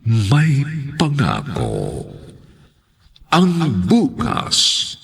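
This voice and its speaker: A man's voice: medium pitch, wildly swooping pitch, extremely slow, creaky, slightly rough. A man narrating a radio drama in a solemn, theatrical, old-style announcer manner, drawing out his words.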